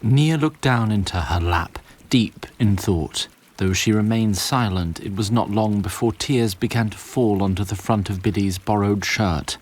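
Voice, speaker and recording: A man's voice: lowish voice, measured pace, hardly any pauses. A man narrating a scripted fantasy story with a literary storyteller's delivery.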